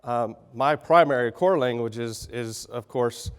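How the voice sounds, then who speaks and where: A middle-aged man with a Texan accent, slightly raspy from a sinus infection, giving a folksy conference keynote. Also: medium pitch, measured pace. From Texas. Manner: folksy, conversational, with frequent fillers and restarts. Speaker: keynote speaker. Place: large conference hall. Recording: reverberant hall sound.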